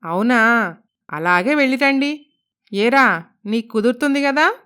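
A stingy, bossy middle-aged mother-in-law from a cartoon moral tale, acted by a woman. Her voice is high and very clean. She talks bluntly, in a scolding, exclamatory way, as someone who will not be argued with. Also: animated, measured pace, pushed and bright, clean tone. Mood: suspicious, questioning. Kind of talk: Telugu animated moral story voice acting.

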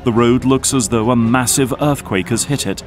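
A man's voice: medium pitch, normal speed, soft background music. A man narrating an action audio drama from a script.

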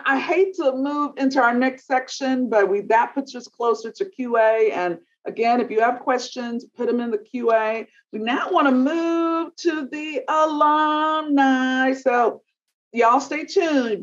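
A woman moderating a panel over a video call, playful and teasing with the guests. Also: on the high side. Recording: slightly dull video call audio.

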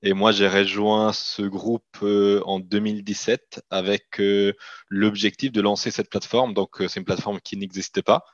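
A man's voice: fairly low pitch, level tone, moderate pace, no room sound, highs cut off. A man in his thirties from Italian-speaking Switzerland, a native Italian speaker with a Swiss Italian accent, talking hesitantly as a guest over a video call. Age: 30s. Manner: hesitant, many restarts and fillers. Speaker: company CEO guest. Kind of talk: casual interview talk.

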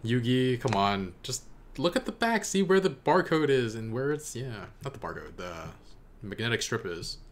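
A man's voice: medium pitch, very animated, normal speed. A young man giving casual, off-the-cuff reaction commentary as a video host. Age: young adult. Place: small room indoors. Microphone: desk condenser microphone.

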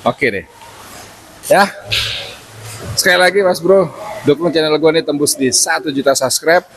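A man's voice: a little high, moderate pace, fairly bright tone, a little hiss or hum, poor audio. Chatty joking man at a pool. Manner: chatty, joking, laughs often, slangy. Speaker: vlogger. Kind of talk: casual chat to camera. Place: indoor rooftop swimming pool.

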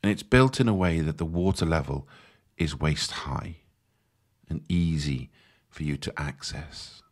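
A man leading a guided meditation in a soothing, measured, instructive manner. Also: a bass voice.

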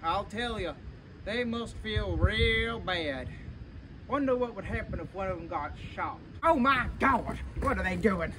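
A man's voice: high voice, expressive, a little slow. A young man playing a folksy, blustering American wartime reporter in a parody news report, with a drawling delivery. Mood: folksy, boastful. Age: young adult. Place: open urban field outdoors. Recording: noisy outdoor recording with traffic.

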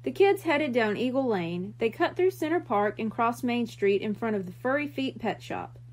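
A woman reading a children's book aloud in an expressive storytelling style. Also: fairly high pitch, normal speed.

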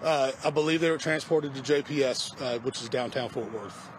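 A middle-aged man, a fire department spokesman, giving a halting outdoor press briefing, stumbling and repeating words when unsure. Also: mid-range voice. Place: outdoors by a parking lot. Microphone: news camera mic, close. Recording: outdoor street noise.

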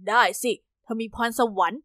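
A woman's voice: normal speed, fairly bright tone, no room sound, close to an everyday voice. A woman voicing a kind, encouraging adoptive father in a storybook reading. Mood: gentle.

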